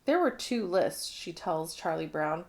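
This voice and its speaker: A woman narrating a children's picture book in an expressive read-along style. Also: fairly low pitch, measured pace, gravelly. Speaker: storybook reader.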